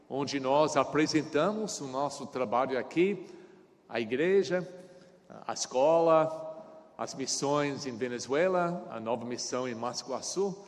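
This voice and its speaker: American pastor preaching in echoing hall. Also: male voice, medium pitch. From United States. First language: English. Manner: speaks in short phrases with small grammar slips and frequent "tá?". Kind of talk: sermon. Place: church sanctuary.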